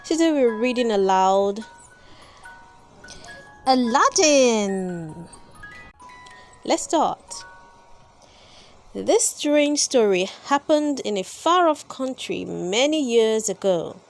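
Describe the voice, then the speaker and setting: A woman reading a children's story aloud as host, with deliberate, dramatic emphasis. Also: fairly high pitch, lively intonation, soft background music. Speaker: storybook reader and channel host. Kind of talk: expressive children's read-aloud narration.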